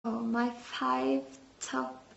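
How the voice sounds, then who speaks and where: Female metal vocalist, halting and filler-heavy, flat slow speech, raised pitch, echoey lo-fi room. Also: creaky. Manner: hesitant, many fillers. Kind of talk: interview answers. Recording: roomy, dull lo-fi sound.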